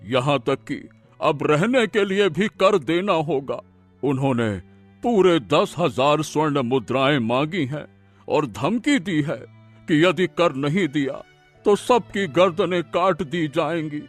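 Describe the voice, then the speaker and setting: Man as a downcast, slow-talking village headman. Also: on the high side, lively intonation, faint music behind. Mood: worried. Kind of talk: animated Hindi moral-story acting.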